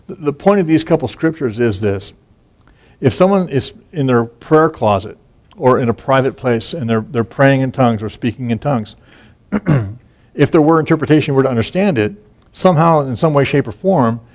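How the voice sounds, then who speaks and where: A man teaches on scripture in a relaxed, conversational manner. His voice sits at a middle pitch. He often restarts phrases and adds asides while he explains. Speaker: Bible teacher. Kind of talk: informal Bible teaching.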